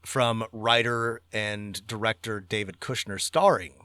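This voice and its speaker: A man's voice: fairly low pitch, fairly even intonation, unhurried, pushed and bright, no room sound. A man co-hosting a podcast in casual review chat, speaking emphatically and repeating words for stress. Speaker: podcast co-host.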